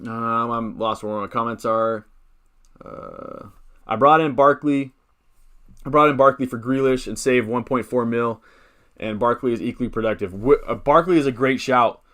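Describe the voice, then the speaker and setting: A man in his late twenties hosting a casual live stream from his computer. He rambles, restarts his sentences and thinks out loud, at an ordinary pitch for a man. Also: normal speed. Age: 20s. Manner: rambling, thinks aloud with many restarts and fillers; chats with viewers, gives shout-outs. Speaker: fantasy football streamer.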